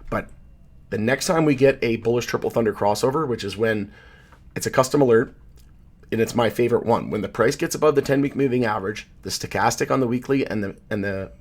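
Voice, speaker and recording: A man hosting a casual market commentary, hoarse from losing his voice, rambling and correcting himself as he goes. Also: medium pitch, fairly quick. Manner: rambling, self-correcting, loose with fillers. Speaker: channel host, market commentator. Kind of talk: casual chart commentary to camera.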